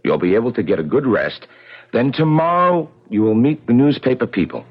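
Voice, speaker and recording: An actor in a 1970s radio drama playing an earnest, protective press agent with an urgent, sympathetic way of talking. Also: male voice, medium pitch, sing-song, fairly quick, husky. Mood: reassuring. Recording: muffled 1970s radio broadcast.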